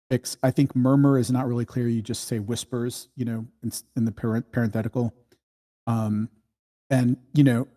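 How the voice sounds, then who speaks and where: A man's voice: mid-range voice, dark tone. A man giving casual, rambling feedback on a script in an online meeting, explaining tentatively as he goes. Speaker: screenwriting workshop participant giving script notes.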